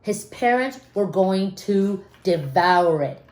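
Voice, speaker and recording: A woman reading a children's story aloud expressively, with dramatic pauses and questions to her young listeners. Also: mid-range voice, expressive, a little mellow. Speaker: storybook reader. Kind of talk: animated children's read-aloud with asides to listeners. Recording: roomy, echoing room sound.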